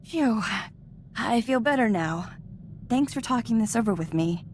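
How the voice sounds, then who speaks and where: A woman's voice: on the high side, expressive, measured pace, a little mellow, slight background noise. An actress playing a modest, eager young female Jedi apprentice in a video game, earnest and a little hesitant in a conversational way. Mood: thoughtful, earnest. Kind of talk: scripted video game voice acting.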